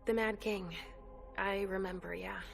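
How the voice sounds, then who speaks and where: A woman's voice: mid-range voice, fairly even intonation, measured pace, vocal fry. An actress playing a polite, slightly playful vampire woman in a video game cutscene. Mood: pleasant, playful. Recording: faint music behind.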